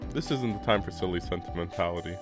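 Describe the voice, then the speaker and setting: A chatty man streams a visual novel, his voice on the low side. He reads lines aloud between casual remarks and frequent laughs, with game music playing behind him. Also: quick pace. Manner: chatty, laughs often, filler-heavy.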